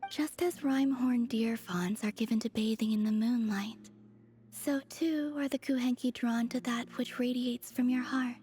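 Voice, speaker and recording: A woman playing a serene, gentle young moon maiden in an anime game, speaking softly and breathily in an unhurried, formal, dreamy way. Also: fairly high pitch, fairly even intonation, natural voice. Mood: dreamy, tender. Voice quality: soft, breathy. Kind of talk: anime game character dialogue.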